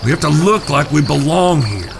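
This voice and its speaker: An actor in an audio drama playing the cautious, bossy older brother who leads Old West outlaws, with a gravelly voice. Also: male voice, a little high, lively intonation, normal speed, background noise. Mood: firm, weary. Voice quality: creaky, gravelly.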